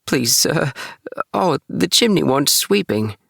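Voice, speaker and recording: Man as a timid, high-voiced little boy kitten. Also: sing-song, takes it slowly, vocal fry, fairly bright tone, no room sound, clean studio sound, full character voice. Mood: frightened, pleading. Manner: plaintive, hesitant. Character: timid young boy kitten, polite, frightened and bewildered. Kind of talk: storybook character voice.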